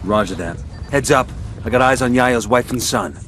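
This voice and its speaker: An actor playing a no-nonsense special forces squad leader in a military video game, terse and commanding. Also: male voice, medium pitch, moderate pace, background noise, natural voice. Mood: brusque, urgent. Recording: music behind the voice.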